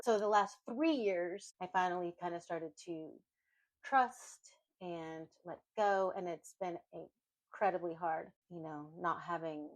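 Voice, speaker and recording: A woman's voice: lowish voice, no room sound, poor audio. Earnest Venezuelan-raised woman, dry close sound. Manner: earnest, reflective, with many fillers. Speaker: podcast co-host. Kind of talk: casual heartfelt chat.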